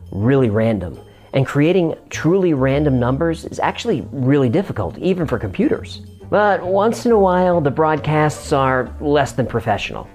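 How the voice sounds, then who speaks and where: A man's voice: medium pitch, darkish tone. A man hosting a show and narrating in a scripted explainer style.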